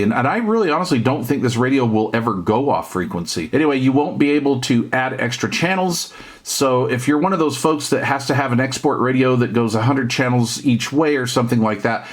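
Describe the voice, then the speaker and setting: A middle-aged or older man giving a casual, off-the-cuff product review in a conversational way, with hesitant pauses. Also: medium pitch. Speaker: CB radio hobbyist and reviewer.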